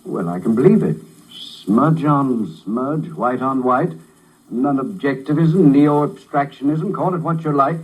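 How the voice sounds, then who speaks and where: An actor playing a scornful, exasperated art critic in a 1950s radio drama, with emphatic, disdainful delivery. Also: male voice, medium pitch, a little mellow, slightly rough, low-quality recording. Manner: emphatic, disdainful, drawn-out words.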